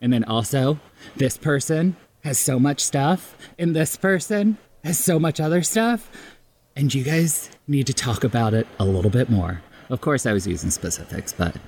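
A man's voice: medium pitch, vocal fry, a little mellow. Exuberant, giggly man over background noise. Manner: giggly, exuberant, self-mocking. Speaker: podcast guest. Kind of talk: casual chat, animated storytelling.

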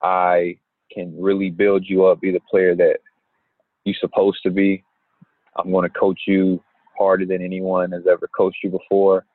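A young man and basketball player from Indiana who answers interview questions in an unhurried way, with many pauses. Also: sits low, completely flat delivery, dry recording. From Gary, Indiana. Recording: tinny phone-like line.

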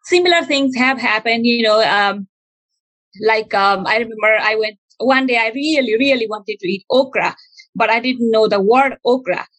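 Earnest woman, fairly high voice. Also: fairly bright tone, no echo, poor audio. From Nepal. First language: Nepali. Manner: earnest and reflective, with frequent pauses and fillers. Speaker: speaker sharing a personal testimony. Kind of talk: personal storytelling talk.

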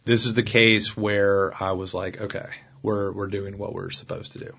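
A man in his thirties with a fairly low voice chats easily as a podcast guest, circling back and restarting lines as he works out his point. The recording sounds a little muffled. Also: normal speed, gravelly, slightly rough. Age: 30s. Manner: relaxed, restarts sentences while thinking aloud. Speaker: guest attorney. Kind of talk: casual podcast interview.

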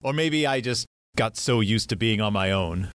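Low-voiced, wistful old gentleman. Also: expressive, moderate pace. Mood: wistful, reminiscing. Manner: gentle, reflective, formal. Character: courteous, wistful grandfather in his seventies, lonely. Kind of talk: audio drama acting.

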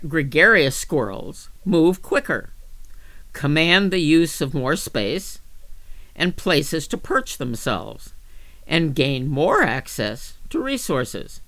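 A woman reading a magazine article aloud with careful, deliberate phrasing and emphatic stresses. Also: sits low, fairly bright tone, no room sound. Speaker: volunteer-style reader of magazine articles.

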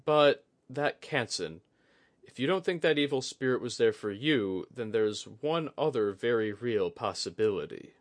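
A diplomatic male officer, voiced by a narrator, courteous and controlled. He speaks formally and placatingly, with expressive pitch movement, in a close, dry fantasy audiobook. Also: unhurried, no echo, natural voice. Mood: weary, concerned.

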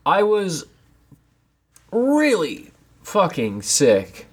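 A young man co-hosting a casual podcast from a home basement, a bit congested, animated and self-deprecating. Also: high-pitched, very slow, breathy edge. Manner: animated, self-deprecating, sips tea while talking. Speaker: podcast co-host. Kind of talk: casual chat.

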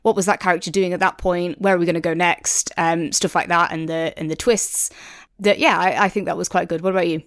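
A woman co-hosting a review podcast in casual conversation, thinking aloud and softening her points as she goes. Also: lowish voice, fairly even intonation, fairly quick, smooth, no room sound, clean studio sound. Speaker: podcast co-host reviewing audiobooks; works in audio production.

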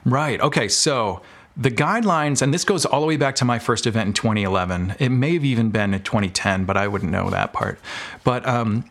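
A man's voice: medium pitch, brisk, fairly bright tone. An American man talking casually and informally as a podcast guest, telling stories about his creative work. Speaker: podcast guest, audio drama producer. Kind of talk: casual interview chat.

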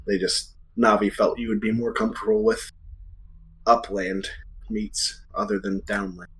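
A man's voice: fairly low pitch, little pitch movement, a little slow, poor audio. A man running a tabletop game as game master, narrating off the cuff in a casual, hesitant way.

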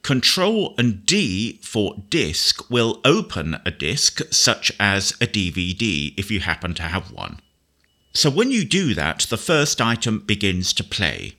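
A man gives a spoken software demonstration with a bright, forward voice that sits slightly low. He explains each step methodically and precisely, in the manner of a practised instructor. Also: big pitch swings, unhurried. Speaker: software tutorial presenter.

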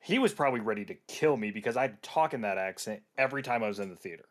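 A man's voice: lowish voice, animated, quick pace. Rambling young male podcast host. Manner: rambling, laughs easily. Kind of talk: casual podcast chat.

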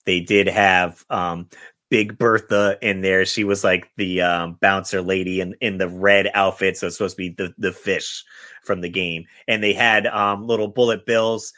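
Male podcast host, low voice, informal rambling movie chat. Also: flat delivery, normal speed, pushed and bright. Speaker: podcast host running a guessing game.

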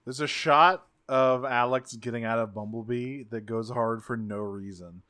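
A man co-hosting a podcast, recapping scenes in a rambling, excitable way and swearing freely. His voice sits in a middle range and sounds bright and forward. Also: mid-range voice, moderate pace. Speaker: podcast co-host. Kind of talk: casual chat, recapping an episode.

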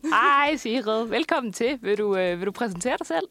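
A woman's voice: a little high, animated, pushed and bright, faint music behind. A woman hosting a radio show, chatting informally and slipping in the odd English phrase. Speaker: radio host interviewing a musical guest. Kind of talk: casual chat.

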